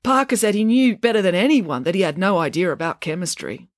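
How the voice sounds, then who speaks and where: A woman's voice: medium pitch, expressive, brisk, no echo, clean studio sound, pitched above the performer's own voice, lightly acted. A woman voicing an earnest, chatty schoolboy in an audiobook, eager and pleading.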